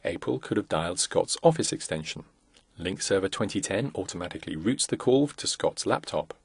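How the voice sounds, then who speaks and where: A man reading scripted corporate narration for a product demo in a measured, instructional way. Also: low-pitched, expressive, gravelly, slightly rough, dry recording.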